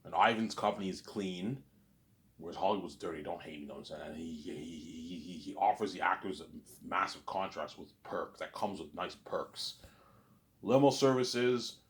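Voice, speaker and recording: Deep-voiced man, mild Canadian accent, loose rambling solo talk full of restarts. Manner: rambling, repeats and restarts words. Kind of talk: casual monologue.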